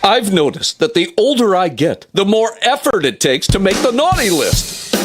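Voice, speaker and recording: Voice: mid-range voice, sing-song, normal speed. An announcer reading a scripted countdown-style radio commercial. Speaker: casino advertisement announcer. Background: music with drums.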